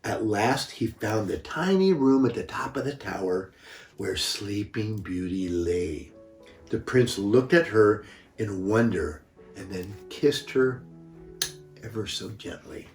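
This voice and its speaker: An older man narrates a children's story at a very slow pace, with dramatic emphasis. His voice sits fairly low. It was recorded from a distance in an echoing room. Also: lively intonation, soft background music. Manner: unhurried, dramatic emphasis, occasional stumbles. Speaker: grandfather reading stories. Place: echoey room, away from the mic. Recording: roomy, echoing, distant microphone.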